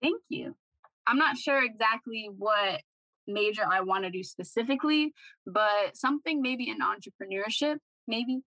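A woman's voice: on the high side, moderate pace, sharp tone, no room sound, rough recording. A young woman from Boston introducing herself casually as a podcast guest. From Dorchester, Boston. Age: late teens, young adult. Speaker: scholarship recipient, podcast guest.

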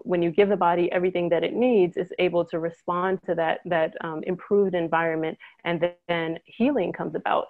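A woman's voice: fairly low pitch, no room sound. Woman on a thin phone-like line. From Trinidad, then the United States. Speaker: guest, naturopathic doctor. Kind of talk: personal storytelling in an interview. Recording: thin phone-like call audio.

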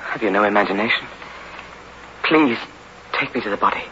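Through dull old radio sound with faint hiss comes a distraught, haunted man. He pleads fervently in a tense, forceful voice, in 1960s horror serial style. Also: fairly low pitch, fairly quick. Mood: pleading, insistent. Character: distraught haunted man obsessed with a ghostly woman.

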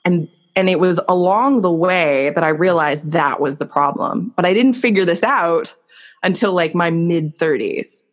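A woman's voice: on the low side, unhurried. Reflective woman telling her story. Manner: reflective, earnest, many fillers. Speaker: podcast guest. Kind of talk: candid personal storytelling in conversation.

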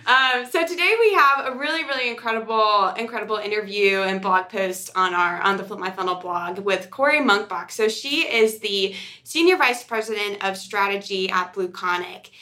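A woman's voice: medium pitch, normal speed, bright, forceful tone. A woman co-hosting a podcast in casual conversation, chatty and upbeat, often repeating words for emphasis. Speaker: podcast co-host.

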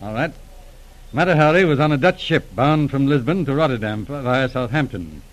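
A man's voice: mid-range voice, animated, moderate pace, natural voice. An actor playing a wry, discreet male police inspector in a 1940s radio drama, dry and understated. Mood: measured, knowing. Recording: noisy old radio recording.

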